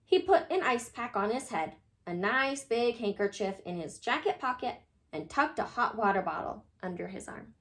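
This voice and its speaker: A woman narrating a children's picture book as an expressive read-aloud storyteller. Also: on the high side, normal speed.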